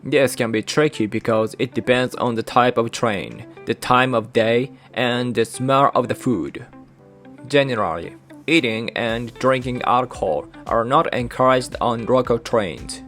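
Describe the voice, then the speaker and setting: Japanese-accented guy reading his explainer script, mid pitch, soft guitar music under him. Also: unhurried. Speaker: channel host.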